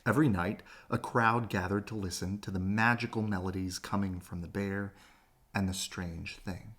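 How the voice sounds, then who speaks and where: A man reading a children's story aloud as expressive bedtime narration, with dramatic, drawn-out phrasing. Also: low voice.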